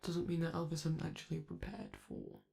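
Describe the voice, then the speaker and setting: A flamboyant male robot celebrity, doting and gently teasing, as a man acts him out. The voice is dark and mellow with a gravelly edge, spoken close and soft like a romantic ASMR audio drama. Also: on the high side, completely flat delivery, a little fast. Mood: reassuring.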